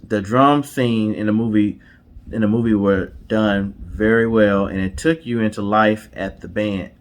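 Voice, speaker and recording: A man's voice: on the low side, steady tone, measured pace. A man co-hosting a storytime show in casual couple chat, colloquial, often repeating himself and saying "you know".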